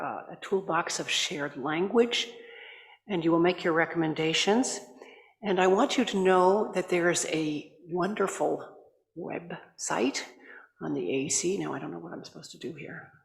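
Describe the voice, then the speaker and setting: An older woman chairing a conference, giving a measured, courteous welcome into a desk microphone. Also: on the low side, creaky. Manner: measured, courteous, slightly halting. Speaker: assembly chair. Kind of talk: formal welcoming address. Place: large conference hall. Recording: echoey reverberant hall.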